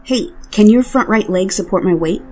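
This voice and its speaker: A bold fantasy warrior, acted by a woman in an audio drama, speaks in a medium-pitched voice with faint music underneath. The delivery is terse, urgent and commanding, full of grim determination. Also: moderate pace, a little mellow, lightly acted. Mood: focused. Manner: terse, urgent, giving orders. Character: bold, commanding dragon-riding warrior, grimly determined, foul-mouthed.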